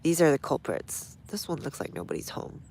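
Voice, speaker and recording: A woman's voice: low-pitched, very animated, a little fast, a little mellow, a little hiss or hum. A woman with a stuffy nose hosting a casual gardening livestream outdoors, chatting to viewers as she works. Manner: chatty, reads viewer comments aloud while working.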